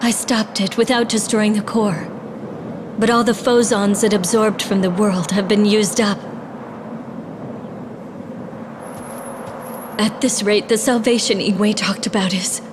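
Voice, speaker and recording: A woman's voice: mid-range voice, steady tone, noisy background, close to an everyday voice. A woman playing a solemn, determined young sorceress princess in a dramatic fantasy video game. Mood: grave wonder. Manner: dramatic, deliberate, incantatory. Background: music.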